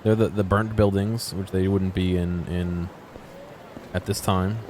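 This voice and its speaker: A man with a fairly low voice gives loose, casual gameplay commentary. He thinks out loud, trailing into fragments and asking himself questions. Some background noise of city streets and traffic from the game sits under his voice. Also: little pitch movement. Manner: musing, thinking aloud in halting fragments and self-directed questions. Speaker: video game streamer.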